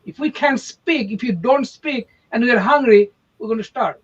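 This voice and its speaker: A man's voice: very high voice, moderate pace, slightly rough, dull audio. A man from India with an Indian accent, a real estate agent hosting a casual motivational chat, speaking emphatically and often checking in with "okay?".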